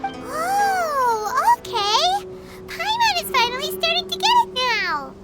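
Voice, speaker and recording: A woman voicing a tiny, bubbly, curious fairy companion in a video game, with a squeaky, childlike, expressive delivery. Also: slow, full character voice. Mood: excited. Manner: exclamatory, expressive, speaks as "we". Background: loud game music.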